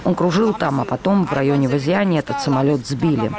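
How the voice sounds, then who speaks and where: A man calmly reading a translated news voice-over. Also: fairly high pitch, fast talker, a crowd in the background.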